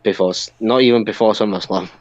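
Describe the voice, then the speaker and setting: A man's voice: lowish voice, fairly even intonation, quick pace, dry recording, lo-fi sound. A man co-hosting a podcast in a casual, chatty style about pro wrestling. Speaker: podcast co-host.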